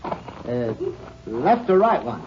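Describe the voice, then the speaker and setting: A nervous American killer in an old radio western, jumpy and evasive. His mid-pitched voice swings wildly, on a dull, slightly noisy recording. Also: big pitch swings, slow. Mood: uneasy bluster. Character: touchy, nervous male killer cornered by a lawman. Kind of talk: 1950s western radio drama acting.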